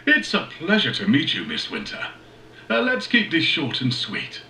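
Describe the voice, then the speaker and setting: An actor playing a brisk, commanding aerospace tycoon joining an interview remotely in a cinematic audio drama. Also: male voice, on the high side, huge pitch swings, sharp tone, full character voice. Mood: brisk, genial. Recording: roomy echo, faint noisy ambience.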